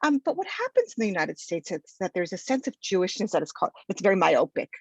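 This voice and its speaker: Lively-voiced woman lecturing over a call. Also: medium pitch, fast talker, slightly rough, no echo. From Argentina. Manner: chatty, many ums and restarts. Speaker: sociology professor giving a talk. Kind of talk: informal lecture presentation. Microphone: video call. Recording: dull lo-fi video call audio.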